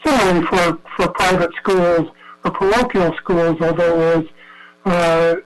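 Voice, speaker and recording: A male professor explaining his research in a measured way over a video call. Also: high voice, fairly even intonation, rough recording. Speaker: professor and invited expert.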